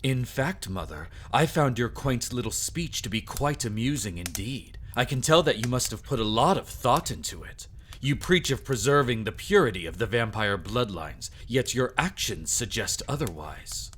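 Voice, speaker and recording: Man voicing a haughty vampire heir, medium pitch, sardonic, theatrical, drawn-out formal diction, audio drama, some background noise. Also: expressive, fairly bright tone, close to an everyday voice. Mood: mocking. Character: smug aristocratic vampire son, mocking and theatrical. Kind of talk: scripted audio drama acting.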